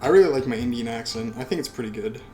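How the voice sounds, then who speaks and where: A man's voice: mid-range voice, lively intonation, quick pace, mellow, faint music behind. A man who hosts a let's play reads a visual novel aloud and adds casual asides with swearing.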